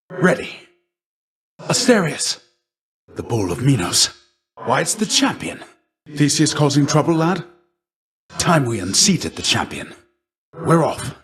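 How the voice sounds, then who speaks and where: A middle-aged man acting a video game role, a gentle, weary warrior shade and mentor, speaking in a deep, soft-edged voice with measured, courteous, old-fashioned formality. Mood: rousing, battle-ready.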